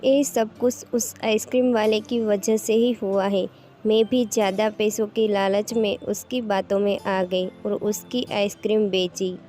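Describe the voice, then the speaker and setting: A woman voicing a proud, greedy village ice cream woman in a cartoon moral story, expressive and self-satisfied. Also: mid-range voice, measured pace, soft background music, lightly acted. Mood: regretful.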